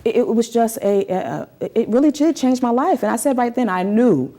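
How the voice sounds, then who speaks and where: A woman's voice: fairly high pitch, normal speed, dark tone. A woman speaking as an interview guest, telling her own story in an earnest, emphatic way and repeating phrases for emphasis. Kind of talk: conversational interview, personal storytelling.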